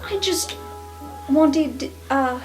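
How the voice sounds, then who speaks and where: Woman playing an earnest meddling student girl, fantasy roleplay, highish voice, faint music. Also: very slow, rough recording. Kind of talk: improvised tabletop roleplay.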